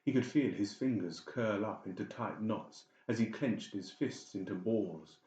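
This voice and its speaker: A man's voice: fairly low pitch, a little slow, mellow, roomy sound, dull audio. A man narrating a horror audiobook in a dramatic, scripted storytelling style.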